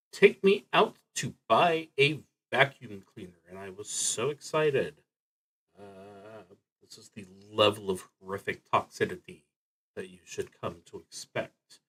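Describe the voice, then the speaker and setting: Slow, halting man hosting a game stream. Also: mid-range voice, bright, forceful tone, rough recording. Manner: halting, with long pauses mid-sentence; wry, self-deprecating. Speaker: host of a solo role-playing stream. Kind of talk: casual livestream talk introducing a game.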